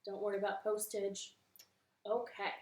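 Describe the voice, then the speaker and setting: A woman's voice: medium pitch, flat delivery, normal speed, reverberant, slight background noise. A woman hosting a library storytime, reading to children in a cheerful, sing-song way from her living room.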